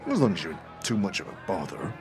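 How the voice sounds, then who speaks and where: Casting: big bald market trader, jovial and chuckling, very animated delivery, music underneath. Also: male voice, low voice, fast talker. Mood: friendly, folksy. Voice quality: hearty, chuckling. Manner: rustic fantasy street slang, folksy. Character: huge bald burn-scarred blustery jovial male merchant. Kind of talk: acted fantasy audio drama.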